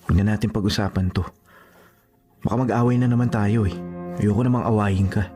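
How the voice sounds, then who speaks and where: A man's voice: on the low side, steady tone, fairly quick, lightly acted. A man playing an earnest, persistent young suitor in a radio drama, speaking in a sincere, pleading way. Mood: pleading, tender. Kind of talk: Tagalog radio drama acting. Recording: music behind the voice. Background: music.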